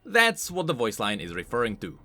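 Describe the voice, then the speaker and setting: A man's voice: medium pitch, huge pitch swings, a little fast, over music. A man hosting a scripted explainer on video game lore, talking informally with emphatic, swooping stresses.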